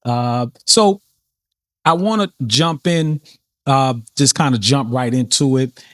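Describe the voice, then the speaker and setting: A man with a New Orleans accent hosting a podcast, talking in a relaxed, rambling way with many fillers and restarts. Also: mid-range voice, takes it slowly, no room sound, clean studio sound. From New Orleans, USA. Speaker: podcast host. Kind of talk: casual interview chat.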